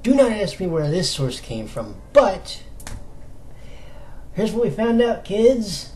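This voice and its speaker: An American man giving casual fan news commentary as a solo video host, in a halting, conversational manner with rhetorical questions. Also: sits high, big pitch swings, a little mellow. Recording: noticeable background noise.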